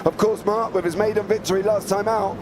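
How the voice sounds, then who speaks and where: Man, croaky from flu, slightly high voice, excited race commentary, noisy background. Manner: chatty, quick to joke, excited play-by-play. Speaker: sim racing commentator.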